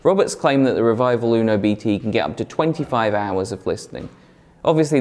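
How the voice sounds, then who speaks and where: Lower-voiced man presenting a review. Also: darkish tone. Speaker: product reviewer for a retailer. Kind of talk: scripted product review voice-over.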